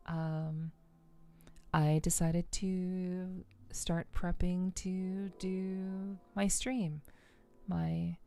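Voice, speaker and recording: A soft-spoken woman streamer chatting casually and unhurriedly with her live viewers in an ASMR style, rambling a little hesitantly. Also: fairly low pitch, vocal fry. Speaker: livestreamer, VTuber host. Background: faint music.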